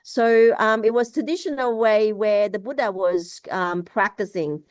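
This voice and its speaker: A woman from Laos giving a casual spoken Buddhist teaching over a video call, halting, with many restarts and fillers. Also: mid-range voice, smooth, no echo. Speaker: Buddhist speaker.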